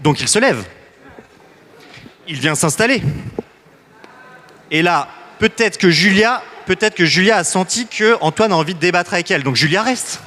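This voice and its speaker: A man runs a citizens' assembly in a loose, joking way, often breaking off and restarting his sentences. His voice sits a little high for a man. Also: lively intonation, normal speed, pauses often, sharp tone. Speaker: facilitator.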